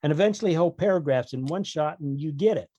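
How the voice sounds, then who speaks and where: Slightly higher-voiced man, close dry sound. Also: fast, dark tone, no room sound, lo-fi sound. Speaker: guest author. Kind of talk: casual podcast interview.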